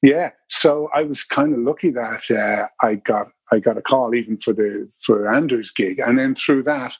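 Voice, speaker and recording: Irish man, husky, rambling storyteller. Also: mid-range voice, normal speed, dry recording. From Ireland. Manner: rambling, many restarts and fillers. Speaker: bass player guest. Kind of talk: casual interview storytelling.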